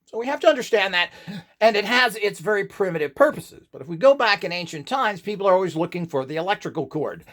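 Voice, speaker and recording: A man giving an informal, rambling lecture as an instructor, opinionated and emphatic, with a gravelly voice. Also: high-pitched, animated, quick pace, fairly bright tone. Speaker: instructor in radionics.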